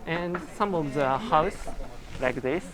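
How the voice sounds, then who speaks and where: A man's voice: fairly high pitch, takes it slowly, a crowd in the background, poor audio. A man who speaks Japanese natively, hosting and guiding a walking tour outdoors, explaining sights in simple English. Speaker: tour guide and channel host. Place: outdoors on busy town streets. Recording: outdoor street noise.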